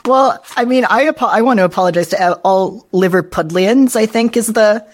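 An upbeat podcast host opens the show with a polished introduction, then falls into easy chat. The voice sits mid-range and often lifts with friendly energy. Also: mid-range voice. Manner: bright, friendly, quick to laugh.

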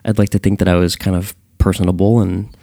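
A young man from New Jersey talks as an interview guest in a low voice, sounding a little tired. He is earnest and self-deprecating, often restarting his phrases. The sound is dry and close. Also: level tone, fast talker, vocal fry, warm tone, dry recording. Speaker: guest, band frontman. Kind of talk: casual interview chat.

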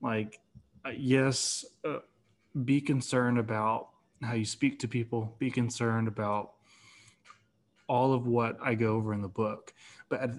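A man's voice: medium pitch, fairly even intonation, a little slow. A man in his twenties, a guest author in a casual interview, talking earnestly with many restarts and fillers. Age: 20s.

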